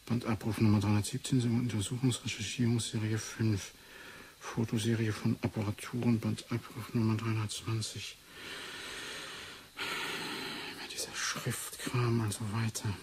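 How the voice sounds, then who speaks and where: A man's voice: on the low side, little pitch movement, moderate pace, noisy background, natural voice. An actor playing a testy, reluctant male researcher and doctor in a 1980s radio drama, muttering as he goes. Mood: reading, muttering. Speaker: researcher character in a radio play.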